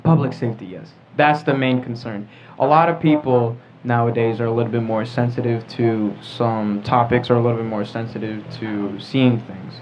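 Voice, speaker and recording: A man's voice: mid-range voice, steady tone, moderate pace, few pauses. A teenage American boy hosting a podcast in a casual group discussion. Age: teens. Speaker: podcast host. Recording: muffled lo-fi podcast with clear background noise.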